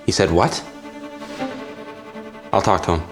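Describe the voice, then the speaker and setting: A man's voice: sits low, takes it slowly, music in the background. An actor playing an easygoing, persuasive young male werewolf second-in-command in an audio drama, casual and coaxing. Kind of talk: scripted audio drama acting.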